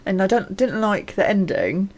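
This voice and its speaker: A woman's voice: mid-range voice, sing-song, normal speed, darkish tone. A woman co-hosting a podcast, chatting casually, agreeing often and laughing easily. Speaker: podcast co-host. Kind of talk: casual chat.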